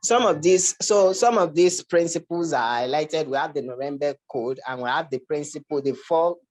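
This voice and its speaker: Halting Nigerian-accented academic presenter. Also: mid-range voice, darkish tone. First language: Yoruba. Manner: hesitant, repeats words and restarts phrases. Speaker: research presenter.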